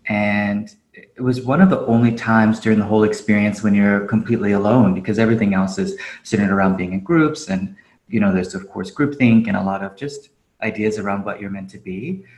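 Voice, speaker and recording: A man's voice: fairly low pitch, a little fast, darkish tone. A man, a podcast guest, talking casually and reflectively with many fillers and easy laughs; he grew up in Japan. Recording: roomy echo.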